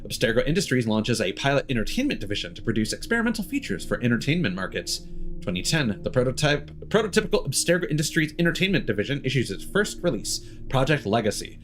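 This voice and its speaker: A man's voice: medium pitch, big pitch swings, quick pace, a bit bright. Male game streamer, mid-range voice, cheeky read-aloud with dry asides, background music.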